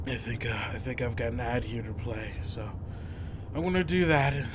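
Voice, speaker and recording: A man hosting and narrating a tabletop podcast as game master, informal and halting but descriptive. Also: medium pitch, expressive, background noise.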